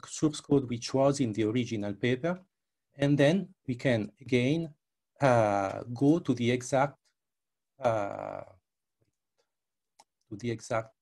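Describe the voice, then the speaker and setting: An older man who is a non-native English speaker, giving a live demo presentation in a gravelly, husky voice, often repeating words. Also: mid-range voice, darkish tone. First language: not English. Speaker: researcher presenting his work. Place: indoors, on a video call. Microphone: headset.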